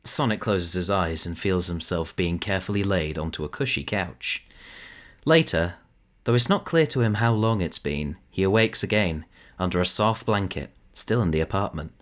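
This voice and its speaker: A man's voice: sits low, normal speed, fairly bright tone. A man narrating an audio drama in a dramatic, scripted storytelling style.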